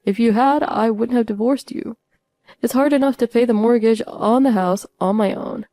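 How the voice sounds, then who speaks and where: A woman's voice: on the high side, normal speed, warm tone, no room sound, lightly acted. A woman voicing an indignant, pleading man who blames others in a dramatized family story. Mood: self-pitying. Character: whiny, blaming ex-husband and his bossy father.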